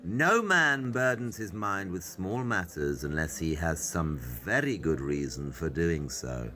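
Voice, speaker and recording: An actor playing a composed, commanding detective in an audio drama, explaining things with clipped authority. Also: male voice, deep voice, big pitch swings, measured pace, sharp tone, exaggerated voice. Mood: grave, analytical.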